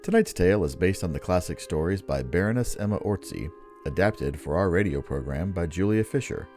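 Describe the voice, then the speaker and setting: Deep, swooping old-time radio announcer man. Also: animated, brisk, a little mellow. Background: music.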